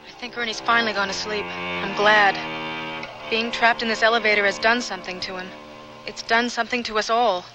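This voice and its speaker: A woman's voice: mid-range voice, fairly even intonation, a little fast, over music, lightly acted, rough recording. An actress playing an earnest, troubled young woman in a radio drama, with an emotional delivery. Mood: weary.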